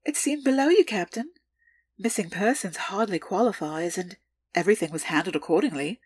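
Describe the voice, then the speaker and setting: A woman playing a calculating, deferential underworld information broker in an audio drama, with formal, measured, precise delivery. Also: medium pitch, expressive, breathy edge, no echo. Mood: businesslike.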